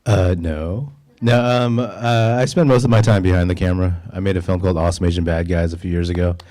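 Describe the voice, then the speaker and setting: A man answering a question as an actor and filmmaker, relaxed and offhand. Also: low voice, a little fast. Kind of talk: casual Q&A answer.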